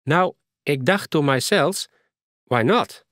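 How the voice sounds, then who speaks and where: A friendly young Ukrainian woman, as a male narrator puts her on, pitched up high and talking slowly with a Ukrainian accent. She hesitates and searches for her words in a dry, close storybook reading. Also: animated, fairly bright tone, dry recording. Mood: eager, halting.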